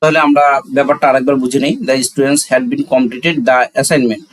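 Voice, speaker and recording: A man's voice: medium pitch, fairly even intonation, normal speed, low-quality recording. A man with a Bangladeshi accent teaching a grammar lesson step by step, often posing questions and answering them himself. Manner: instructional, asks and answers own questions, switches between Bengali and English. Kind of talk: classroom-style lesson, explaining step by step.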